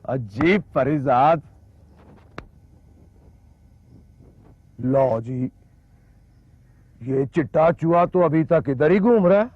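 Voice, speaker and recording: A working-class cart driver with a Punjabi accent, cocky and full of hope. He muses aloud at a slow, drawn-out pace with sardonic swagger, in television drama style, on slightly dull lo-fi film sound. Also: fairly high pitch, no echo. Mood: sardonic, triumphant. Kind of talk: colloquial television drama acting, musing aloud.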